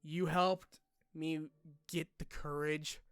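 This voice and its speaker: A young man talking informally to his subscribers in a personal vlog, halting and rambling in a colloquial way. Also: on the high side, flat delivery, smooth, dry recording. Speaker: online video creator.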